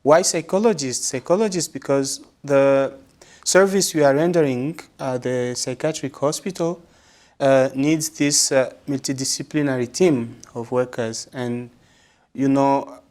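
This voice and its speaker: Measured man, frequent pauses. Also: mid-range voice, darkish tone. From Congo. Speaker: guest, religious brother and psychologist. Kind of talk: interview answers.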